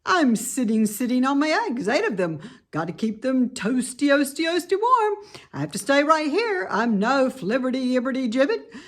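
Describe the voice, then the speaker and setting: A woman's voice: sits high, big pitch swings, normal speed, voice pushed up. A woman voicing a busy, fussy mother goose in a children's storybook, repeating words and syllables as she talks. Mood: fussy, proud.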